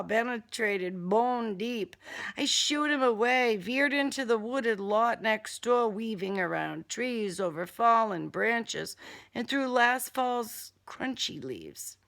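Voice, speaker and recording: Slow, creaky-voiced woman reading a thriller. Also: medium pitch, a bit bright. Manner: suspenseful, with short deliberate phrases. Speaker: author reading own novel. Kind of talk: dramatic first-person thriller narration.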